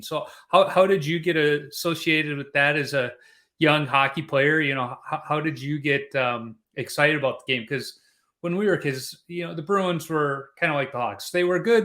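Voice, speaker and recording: A man's voice: fairly high pitch, sharp tone. A middle-aged man from Chicago hosting a casual podcast, chatty and rambling with restarts. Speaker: podcast host.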